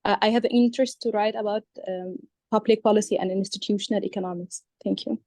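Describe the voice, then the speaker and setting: A woman's voice: mid-range voice, steady tone, fast, a little mellow, no room sound. A woman from Egypt concisely introducing herself as a teaching assistant on a video call. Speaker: teaching assistant and PhD candidate.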